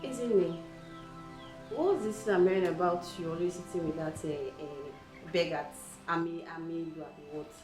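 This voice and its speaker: A woman's voice: on the low side, moderate pace, over music, natural voice. A woman playing a teasing, dramatic young village friend in a film drama, with a Nigerian accent and a theatrical way of talking. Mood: scandalised, teasing. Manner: theatrical, teasing, interjects with local words. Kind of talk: naturalistic film drama dialogue.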